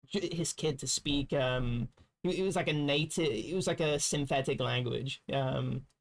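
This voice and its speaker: A man's voice: mid-range voice, a little slow. A young man who grew up speaking Slovak, chatting casually on a livestream, rambling with restarts and laughs. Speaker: livestream co-host. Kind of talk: casual chat.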